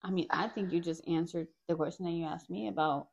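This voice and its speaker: A woman's voice: on the low side, steady tone, quick pace, vocal fry, darkish tone, lo-fi sound. A woman in a casual faith discussion, speaking in an affirming, reflective and somewhat preacherly way.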